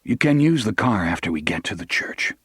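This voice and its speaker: A man's voice: lowish voice, big pitch swings, a little fast, a bit bright, breathy edge, dry recording, studio quality, full character voice. Man playing a terse, strained pilot father. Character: grim, terse airline captain father under strain.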